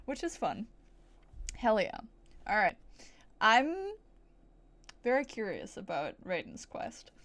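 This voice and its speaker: A woman's voice: medium pitch, very animated, slow, long pauses, fairly bright tone. A woman streamer chatting casually and chattily to her viewers on a livestream.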